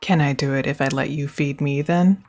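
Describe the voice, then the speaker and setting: A woman's voice: deep voice, fairly even intonation, normal speed, a little mellow, faint music behind. A young woman performing a scripted girlfriend roleplay, speaking close to the mic in an affectionate, teasing and reassuring way.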